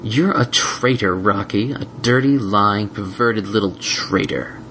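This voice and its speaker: A man's voice: fairly low pitch, fairly bright tone, a little hiss or hum. Man playing a sneering unicorn thug. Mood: hateful. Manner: sneering, drawn-out taunts. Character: sneering male unicorn thug, cruel and taunting.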